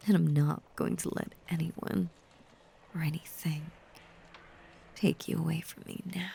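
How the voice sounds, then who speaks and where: A woman playing a possessive, obsessively devoted female werewolf lover in an intimate roleplay audio, breathy and whispery, with lingering pauses. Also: low-pitched, steady tone, mellow, a little hiss or hum, full character voice. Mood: possessive, tender. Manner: slow, lingering, intimate delivery with long pauses.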